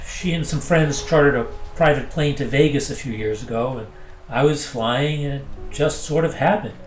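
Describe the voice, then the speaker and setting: A man playing an earnest, easily rattled pilot in an audio drama, halting and indignant in his delivery. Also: medium pitch, echoey room, faint music behind, close to an everyday voice, poor audio. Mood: sheepish. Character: defensive, flustered, earnest male pilot. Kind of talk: naturalistic audio drama dialogue.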